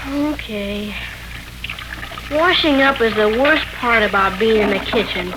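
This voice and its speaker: A woman playing a young boy with a high voice, grumbling and reluctant. He talks in 1940s radio serial style, over faint recording noise. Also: unhurried, natural voice.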